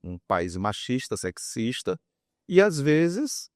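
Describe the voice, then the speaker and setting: A man's voice: mid-range voice, big pitch swings, takes it slowly, darkish tone, no echo, clean studio sound. A man, explaining his opinions in an interview with examples and repeated words for emphasis. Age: 50s. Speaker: guest psychologist and author.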